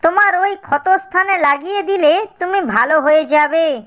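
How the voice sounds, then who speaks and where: A woman's voice: very high-pitched, normal speed, a bit bright, pitched above the performer's own voice. A woman voicing a kind, caring female bird in a fairy-tale cartoon, with a sweet storybook delivery.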